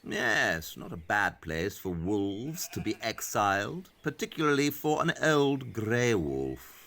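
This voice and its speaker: An actor playing a brisk, all-knowing male detective in an audio play, explaining things precisely and with condescension. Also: fairly low pitch, big pitch swings, gravelly, fairly bright tone, full character voice. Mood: grave, reflective.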